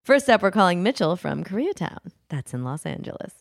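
A woman's voice: on the low side, sing-song, brisk, vocal fry, over loud music. A woman co-hosting a comedy podcast, playful and giggly in casual chat. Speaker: podcast co-host.

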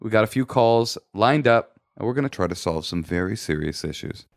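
A man's voice: lowish voice, lively intonation, brisk, gravelly, noisy background. Joking male podcast co-host. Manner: joking, riffing, sarcastic. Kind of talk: comedic casual chat.

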